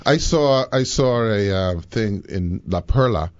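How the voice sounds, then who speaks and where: A Bahamian man hosting a live talk show, chatting in an animated, rambling way and laughing easily. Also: on the low side, slow, no room sound, muffled recording.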